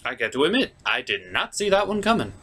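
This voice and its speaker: A man's voice: medium pitch, sing-song, a little fast, pushed and bright. A man hosting a cartoon review, giving casual, sarcastic and sometimes crude commentary. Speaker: cartoon review show host.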